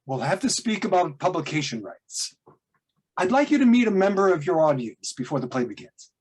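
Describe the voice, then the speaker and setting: A man's voice: high-pitched, big pitch swings, a little fast, darkish tone, slightly rough, voice pushed up, full character voice. A man reading the part of a sociable theatre publisher at a table read, hearty and persuasive. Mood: eager. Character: smooth male theatre publisher, eager and sociable.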